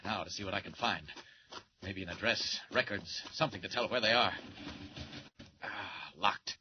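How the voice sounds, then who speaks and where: An actor playing a confident male superhero in a 1940s radio drama, briskly thinking aloud as he acts. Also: lowish voice, lightly acted. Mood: intent, assured. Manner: brisk, self-narrating, assured. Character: confident heroic superhero man, muttering his plans aloud. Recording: dull, noisy 1940s radio recording.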